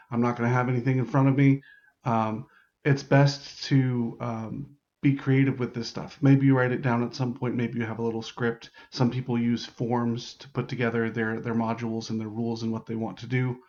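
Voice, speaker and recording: A man's voice: mid-range voice, a little mellow, muffled recording. A man giving an improvised, casual tutorial as a game host, informally thinking aloud in loose phrases. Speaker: host explaining how to write larp game modules.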